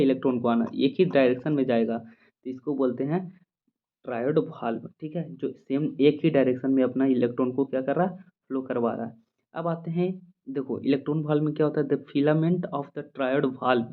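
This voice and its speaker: Indian-accented male lecturer, mid-pitched, repetitive and explanatory, checks in often; narrow telephone-grade sound. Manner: repetitive, checks understanding often, reads English definitions slowly. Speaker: physiotherapy lecturer. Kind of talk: informal explanatory lecture, point by point. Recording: muffled phone-like audio.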